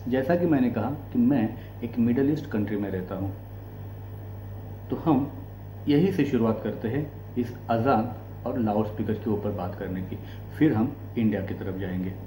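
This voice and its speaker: Echoey, mellow Indian male commentator. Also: medium pitch, moderate pace. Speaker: host of a commentary channel. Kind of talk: explanatory political commentary to camera. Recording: echoey room, faint background noise and hum.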